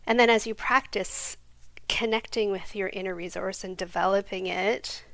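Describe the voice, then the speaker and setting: A woman guiding a yoga nidra meditation in a slow, soft, soothing voice that sometimes drops to a near whisper. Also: medium pitch, vocal fry, no room sound. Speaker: meditation guide.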